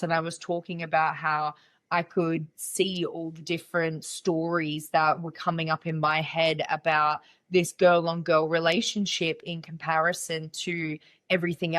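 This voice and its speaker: A woman's voice: low voice, a bit bright. A young woman hosting a casual solo podcast, with a nasal voice from a cold, talking in a candid, playful and chatty way. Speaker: podcast host.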